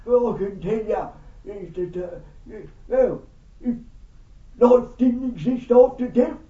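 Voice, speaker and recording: Man putting on a high, cheeky English spirit. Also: slow, mellow. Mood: cheeky, frank. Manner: cheeky, colloquial, teasing, plain-spoken. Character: chirpy dead old working-class Englishman, cheeky spirit visitor. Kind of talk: spirit speaking at a seance, conversational. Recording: echoey room, far from mic, dull.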